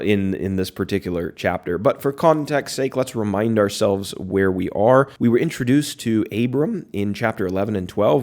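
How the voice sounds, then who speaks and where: A man hosting a Bible study podcast. His voice is hoarse from illness, and he reads scripture aloud and explains it in a plain, conversational tone. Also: lowish voice, darkish tone.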